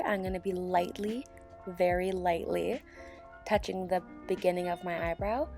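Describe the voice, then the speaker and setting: A young woman, hoarse and sick with a sore throat, calmly talking through a beauty tutorial as a voiceover. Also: mid-range voice. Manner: calm step-by-step instruction. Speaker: beauty channel host. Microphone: voiceover mic.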